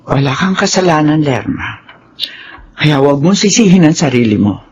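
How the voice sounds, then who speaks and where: A woman's voice: deep voice, lively intonation, normal speed, a little mellow, dull audio. An actress playing a firm, caring older mother in a radio drama. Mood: concerned, firm. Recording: faintly noisy radio drama track.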